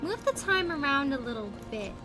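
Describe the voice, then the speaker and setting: A woman giving casual livestream commentary on a video game in an animated manner. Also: high voice, moderate pace. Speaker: livestream host. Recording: game music under the voice. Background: video game music.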